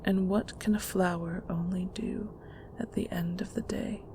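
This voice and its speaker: A woman playing a stern, manipulative mother in an audio drama, condescending and deliberate, with a creaky, often hushed voice. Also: lowish voice, little pitch movement, background noise. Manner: slow, deliberate, condescending, with terms of endearment. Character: stern, manipulative mother of a secret magical family. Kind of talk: scripted audio roleplay drama.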